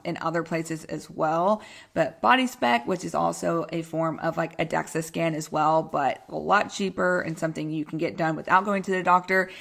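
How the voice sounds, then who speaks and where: A woman's voice: on the low side, gravelly, a bit bright. Bubbly Texan woman chatting casually. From Texas, United States. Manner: bubbly, rambling, informal, self-deprecating. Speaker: podcast host and health coach. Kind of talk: casual solo podcast chat.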